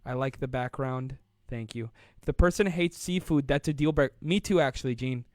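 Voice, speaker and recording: A man's voice: mid-range voice, brisk, darkish tone, no room sound, studio quality. A young man hosting a casual live online show, rambling and playful, laughing often as he reads out viewer comments. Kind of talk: casual live-stream chat.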